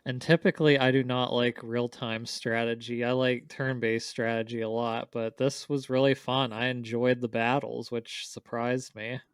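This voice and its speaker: A man co-hosts a casual podcast in a smooth, clear voice. His intonation stays fairly flat, and the recording is very dry. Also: mid-range voice, normal speed, hardly any pauses, dry recording. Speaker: podcast co-host.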